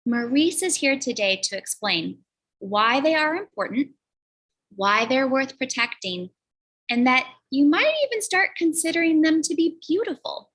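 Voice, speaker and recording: A woman hosting an educational show for schoolchildren, reading a scripted introduction in an upbeat, clear, sing-song way. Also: high voice, fairly bright tone. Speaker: host.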